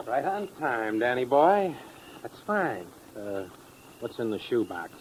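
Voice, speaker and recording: A cool male blackmailer in an old radio crime play, with a medium-pitched voice. He is hard-boiled and slangy, needling and threatening. The vintage recording carries light noise. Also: big pitch swings, slow pace, rough recording. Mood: coolly wary. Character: menacing, sardonic male blackmailer, cool tough crook. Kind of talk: 1950s radio crime acting.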